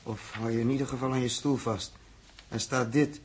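A man's voice: medium pitch, fairly even intonation, normal speed, natural voice. A man acting a blunt, principled spaceship commander in a 1960s Dutch science fiction radio drama. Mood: tense, businesslike. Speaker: spaceship captain. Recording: noisy old radio drama recording, clicks.

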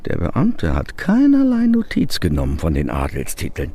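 A man's voice: rumbling low, wildly swooping pitch, moderate pace, warm tone, exaggerated voice. An actor playing a wry, mocking townsman gossip in a German radio drama. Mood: wry mockery.

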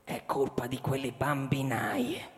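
An actor playing a suspicious old school caretaker in a radio drama, insistent and repetitive, muttering haltingly. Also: male voice, medium pitch, sing-song. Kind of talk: Italian radio drama acting. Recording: long reverb, loud music underneath. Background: loud music.

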